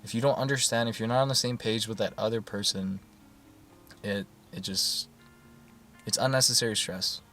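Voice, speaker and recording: A man talking in a soft, soothing voice in a casual rambling monologue, full of fillers and restarts. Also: on the low side, fairly even intonation, normal speed, pauses often, faint music behind. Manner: soft-spoken, meandering, many fillers and restarts. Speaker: YouTuber.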